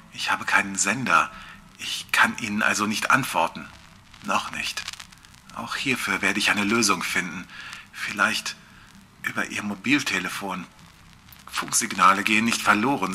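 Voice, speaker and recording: Casting: male actor for obsessive lone scientist, lowish voice with a very sharp, tense edge, confiding horror monologue. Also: a little hiss or hum. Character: obsessive lone male researcher, anxious, brooding, racing against time. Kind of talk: German audio horror drama monologue.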